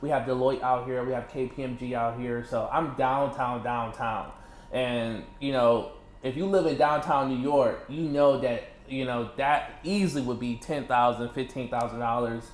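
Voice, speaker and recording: A man's voice: medium pitch, normal speed, a little hiss or hum. Easygoing man in an echoey room. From Michigan, USA. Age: 30s. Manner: animated, laughing, many "you know" fillers. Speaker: interview guest. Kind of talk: casual interview chat. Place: indoors in a condo. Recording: echoey room with faint ambience.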